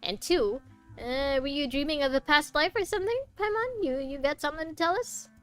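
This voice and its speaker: A young woman doing casual let's play commentary in a fairly high voice. She is playful and teasing, reacting as she goes, with faint music underneath. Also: fairly quick, fairly bright tone. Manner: playful, teasing, mild swearing. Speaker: game streamer.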